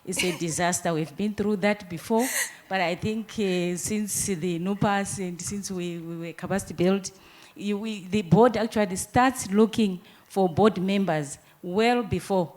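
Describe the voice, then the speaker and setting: A woman's voice: mid-range voice, a little slow, darkish tone. A woman from Zimbabwe commenting from the floor at a conference into a handheld microphone, speaking earnestly and explaining at length with frequent restarts. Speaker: audience participant. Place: conference room.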